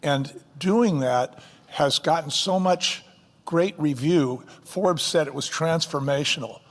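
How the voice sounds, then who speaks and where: A man's voice: fairly high pitch, slow pace, big-room sound. An older man, a company co-founder, speaking emphatically in an interview like a seasoned salesman. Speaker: co-founder guest. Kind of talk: interview, persuasive pitch.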